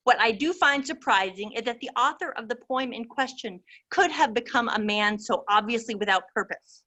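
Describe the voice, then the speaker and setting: Woman playing a bright-voiced, severe Soviet chairman. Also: fairly high pitch, animated. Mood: coolly severe. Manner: formal, measured, cutting. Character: severe, coolly sarcastic male Soviet committee chairman. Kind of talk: amateur dramatic reading.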